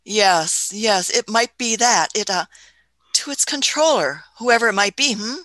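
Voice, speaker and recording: Woman acting a bright, musing elderly scientist. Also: medium pitch, lively intonation, measured pace, no room sound. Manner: hemming, musing, punctuating with "hmm" and chuckles. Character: elderly time-travelling scientist, grandmotherly, curious, imperious. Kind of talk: radio play acting.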